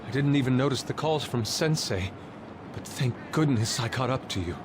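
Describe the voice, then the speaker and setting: A man's voice: medium pitch, lively intonation, normal speed, noisy background, lightly acted. A man playing an earnest, intense teenage art student with a formal manner in an anime game. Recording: loud music behind.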